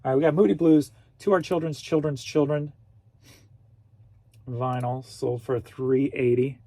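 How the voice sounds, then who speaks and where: Goofy, husky-voiced man in his forties. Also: medium pitch, steady tone, a little slow, mellow, dry recording. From St. Louis, Missouri. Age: 40s. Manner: goofy, jokey, talks to himself. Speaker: online reseller. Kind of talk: casual vlog while packing orders.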